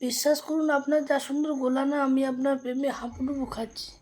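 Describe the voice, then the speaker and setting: A woman's voice: sits high, fairly even intonation, fast talker, a little mellow. A woman making a playful prank phone call in a gushing, pleading manner. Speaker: prank caller, vlogger.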